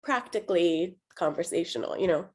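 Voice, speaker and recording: A woman who teaches adult English learners, talking in a chatty way with frequent "like"s and quick laughs. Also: lowish voice, husky. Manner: chatty, lively, laughs often, many "like"s. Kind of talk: casual discussion among teachers.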